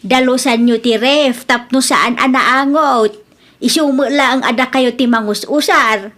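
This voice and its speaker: Plaintive, high-voiced aging Ilocano mother. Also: unhurried, fairly bright tone. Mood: exasperated. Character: long-suffering aging mother, put upon at home. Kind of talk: Ilocano radio drama acting.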